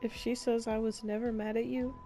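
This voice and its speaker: A woman's voice: fairly high pitch, little pitch movement, a little fast, music in the background. A young woman game streamer giving casual, playful, reactive live commentary.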